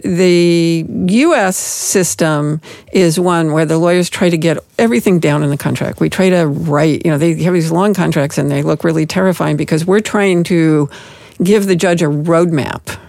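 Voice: medium pitch, creaky, a little mellow, no room sound. A middle-aged business lawyer on a panel, explaining at length with frequent restarts. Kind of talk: panel discussion.

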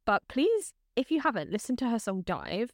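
A woman's voice: on the high side, big pitch swings, normal speed, dry recording, studio quality. A woman co-hosting a podcast in casual chat, gushing and chatty, full of "like" and the odd swear word. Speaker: podcast co-host.

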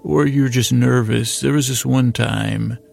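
A man's voice: mid-range voice, steady tone, a little slow, vocal fry, darkish tone, faint music behind. A middle-aged American man hosting a bedtime podcast, rambling hesitantly in soothing, lulling tones. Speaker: podcast host. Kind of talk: rambling bedtime monologue to help listeners sleep.

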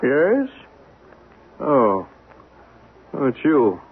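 An actor playing a testy, guarded gentleman with a raspy voice, formal and impatient, in a 1970s radio drama. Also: medium pitch, wildly swooping pitch, extremely slow, heavily acted. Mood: wary, irritated. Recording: muffled, narrow-band old radio broadcast.

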